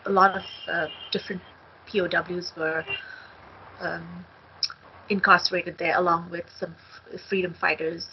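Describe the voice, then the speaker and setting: A middle-aged woman from India, co-author, talking on a video call from a home study with a headset, halting with many ums. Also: fairly low pitch, vocal fry, a little hiss or hum. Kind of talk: casual talk on a video call. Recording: dull lo-fi video call audio.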